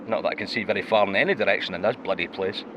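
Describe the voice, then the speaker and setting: A man's voice: on the low side, racing, noisy background, natural voice. A man playing a wry crewman. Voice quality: wry, dry humor. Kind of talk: audio drama acting.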